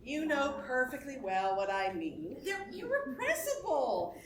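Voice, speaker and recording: A woman's voice: sits high, big pitch swings, measured pace. A woman playing a spirited, strong-willed stage star and wife in a theatrical reading, effusive and coaxing. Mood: playful, delighted. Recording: echoey stage room, faint music.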